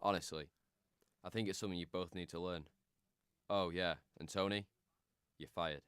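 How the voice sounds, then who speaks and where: Radio drama villain: greedy, contemptuous male circus boss played by an actor, low-pitched, crisp and blunt. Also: fairly even intonation, moderate pace, a bit bright, no echo, clean studio sound. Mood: cold, contemptuous. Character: callous, greedy, bigoted male circus owner.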